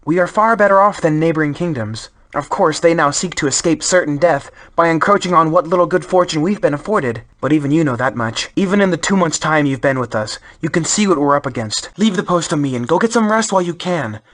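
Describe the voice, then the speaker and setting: An actor playing a dutiful, world-weary soldier in a fantasy video game. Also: male voice, fairly high pitch, animated, brisk, hardly any pauses, fairly bright tone. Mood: weary, resigned.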